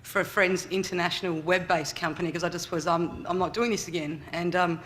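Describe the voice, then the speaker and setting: A man's voice: sits high, fairly even intonation, a little fast, a little hiss or hum. A man who leads a youth services group, telling his story at a conference in a big, chatty voice with lots of fillers. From Australia. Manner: loud, chatty, many fillers. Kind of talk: conference talk. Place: conference hall.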